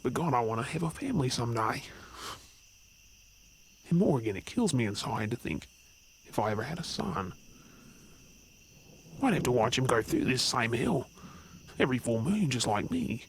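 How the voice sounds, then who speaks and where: A man's voice: mid-range voice, big pitch swings, moderate pace, creaky, long pauses. A man playing an earnest, brooding werewolf, weary of hiding, in a supernatural ASMR audio drama. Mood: anguished. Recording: faint noise with chirping night insects.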